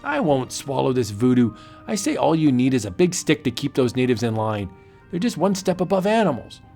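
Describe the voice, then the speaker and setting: A man's voice: mid-range voice, very animated, brisk, vocal fry, mellow, soft background music. A man playing an arrogant, bullying young colonial officer with a haughty, commanding manner, in a comic book audio drama. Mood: scornful.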